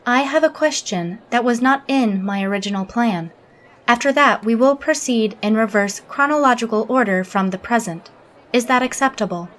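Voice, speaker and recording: A woman playing a literal, humorless alien Starfleet officer in an audio drama, speaking formally and exactly with a deadpan manner. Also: fairly high pitch, normal speed, natural voice. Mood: cool, probing. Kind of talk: scripted audio drama log and interview.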